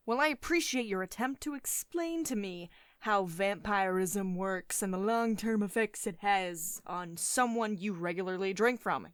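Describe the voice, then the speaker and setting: A commanding female CEO, acted by a woman, speaks in a dry, close ASMR roleplay recording. Her pitch moves freely as she reprimands in a condescending, sardonic way. Beneath the strict authority there is a caring edge. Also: dry recording. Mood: cold, commanding. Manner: domineering, sardonic, clipped reprimands with sugary pet names.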